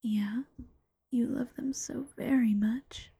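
A woman voicing an affectionate, teasing bunny-girl girlfriend in a slow, coaxing ASMR whisper. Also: fairly high pitch, steady tone, warm tone, husky, full character voice. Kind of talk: ASMR roleplay.

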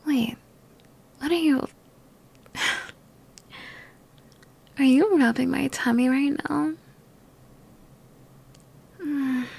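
A young woman whispers slowly and softly, all affection, sounding sick and worn out. Her breathy voice sits a little high and carries a gravelly edge. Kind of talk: whispered ASMR girlfriend roleplay.

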